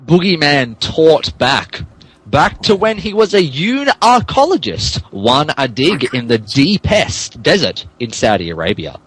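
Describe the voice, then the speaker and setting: A man's voice: fairly high pitch, a bit bright, breathy edge, rough recording. A man narrating a comic dramatic reading of fan fiction in a hammy way, stumbling over words. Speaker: narrator, podcast reader.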